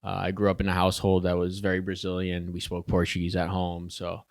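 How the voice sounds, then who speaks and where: A man's voice: sits low, a little fast, dry recording, clean studio sound. A young man from Chicago, a podcast guest and soccer player, chatting casually with many fillers and restarts. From Chicago, United States. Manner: relaxed, many fillers and restarts, laughs easily. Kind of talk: casual interview chat.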